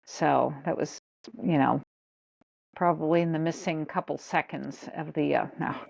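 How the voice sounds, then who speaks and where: A woman with a lowish, gravelly voice meanders through her thoughts in a casual podcast chat. Her sound comes over a dull, lo-fi line. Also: fairly even intonation, measured pace, a little mellow. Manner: meandering, thinking aloud. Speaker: podcast co-host.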